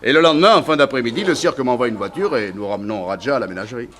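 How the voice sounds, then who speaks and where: Man playing exuberant, animated radio tiger tamer. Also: mid-range voice, racing, natural voice. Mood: matter-of-fact. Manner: exuberant, coaxing, expressive. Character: hearty, fearless male tiger tamer, proud and affectionate. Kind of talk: mid-century French radio drama acting. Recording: noisy old radio drama recording.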